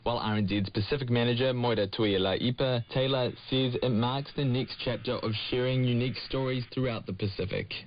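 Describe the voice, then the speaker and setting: A man's voice: mid-range voice, a little fast, hardly any pauses. A man reading a scripted radio news report as a reporter. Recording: dull lo-fi shortwave radio, clear static.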